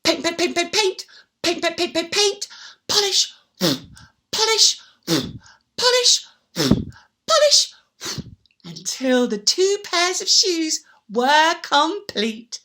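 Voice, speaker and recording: A woman's voice: very high-pitched, animated, slow pace, long pauses, pushed and bright. A woman telling a story to young children in a theatrical, playful way, repeating words and addressing the listener.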